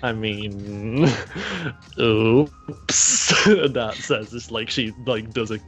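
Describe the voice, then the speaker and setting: A man's voice: fairly low pitch, very slow, fairly bright tone, faint music behind. A man playing a casual voice-chat roleplay, who laughs often and stumbles over his words. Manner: giggly, stammering restarts. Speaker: roleplay player.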